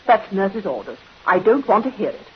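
An actress plays a kindly nurse from a 1950s radio play, brisk, cosy and fussing, her pitch swinging freely as she lightly scolds. The sound is muffled, with faint hiss. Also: big pitch swings, natural voice. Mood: gently scolding. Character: kindly, brisk private nurse, affectionate and protesting. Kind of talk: 1950s radio drama acting.

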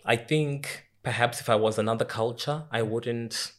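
A young man from Zimbabwe telling travel stories in an interview, laughing often and growing animated. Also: mid-range voice, normal speed. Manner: animated storyteller, laughs often, rising into excitement. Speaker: interview guest. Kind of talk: casual reflective interview talk.

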